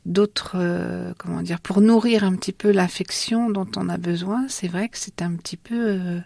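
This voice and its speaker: A woman interviewer asking gentle questions, with some fillers as she prompts. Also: lowish voice, steady tone, moderate pace, creaky, no room sound.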